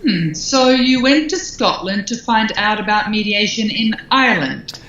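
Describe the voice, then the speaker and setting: A woman's voice: mid-range voice, expressive, measured pace, fairly bright tone. Woman teasing dryly in an echoey room. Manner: dry, teasing questions. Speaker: podcast co-host. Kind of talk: conversational podcast chat. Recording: very echoey, muffled room audio.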